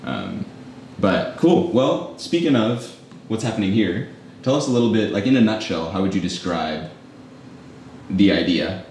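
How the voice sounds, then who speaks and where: A man's voice: on the low side, very animated, unhurried, a little mellow, a little hiss or hum. A man hosting a casual interview for a coffee shop, chatty and rambling with lots of "like". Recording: echoey room, mic at a distance.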